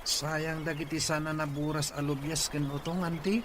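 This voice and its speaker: A man's voice: a little high, level tone, moderate pace, noisy background, natural voice. A man playing a weary, resigned young farmer in an Ilocano radio drama.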